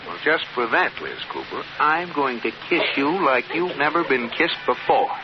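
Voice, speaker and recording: Man playing blustering middle-aged husband, animated swooping pitch, old radio comedy, dull noisy recording. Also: normal speed, natural voice. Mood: amorous. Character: playful, blustering middle-aged husband, easily wounded pride. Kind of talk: 1940s-50s radio situation comedy acting.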